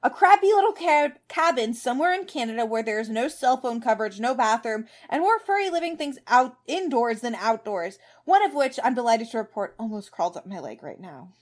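A woman reading the part of a sarcastic, exasperated man in a story who is barely keeping calm, with emphatic delivery. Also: a little high, fairly quick, few pauses, close to an everyday voice. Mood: sarcastic complaint.

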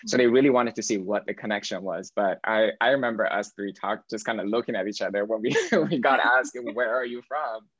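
A man, a podcast guest, chatting in a relaxed, hesitant way. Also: on the low side, fairly quick, hardly any pauses, highs cut off. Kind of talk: casual podcast chat.

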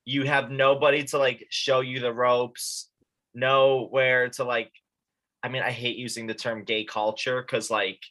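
A man's voice: mid-range voice, little pitch movement, unhurried, a bit bright. A man from upstate New York hosting a podcast, talking in a chatty, casual way full of "like" fillers. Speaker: podcast host. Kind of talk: casual conversation.

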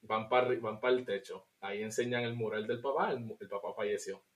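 A man with a Puerto Rican accent co-hosting a podcast, reviewing a film in an animated, casual way and slipping into English. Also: lowish voice, fairly quick. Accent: Puerto Rican Spanish. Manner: animated, laughs often, mixes in English. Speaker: podcast co-host.

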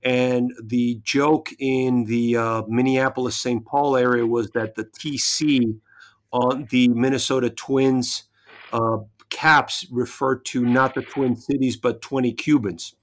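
A man's voice: mid-range voice, level tone, slow. A man hosting a podcast interview, asking long, multi-part questions in a conversational way. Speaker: podcast host.

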